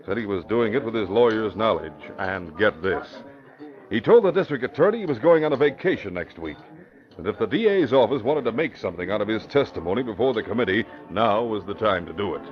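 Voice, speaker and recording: Deep-voiced police commissioner, played by a man. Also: lively intonation, fairly quick, faint music behind, close to an everyday voice. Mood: wary, explaining. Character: wary, plain-spoken middle-aged male police commissioner. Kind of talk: 1940s radio acting. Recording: muffled old radio broadcast.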